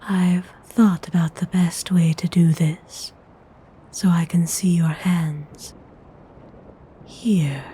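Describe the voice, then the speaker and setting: A woman playing a centuries-old, gentle yet commanding vampire noblewoman in a whispered ASMR roleplay, speaking softly in measured, formal phrases. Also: lowish voice, a little hiss or hum. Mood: tender, commanding. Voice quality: breathy whisper.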